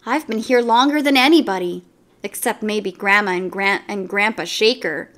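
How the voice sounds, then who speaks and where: A woman voicing a lonely toy bunny who speaks gently, wistful and a little self-mocking. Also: mid-range voice, moderate pace, natural voice. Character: lonely green plush bunny, gentle and sad.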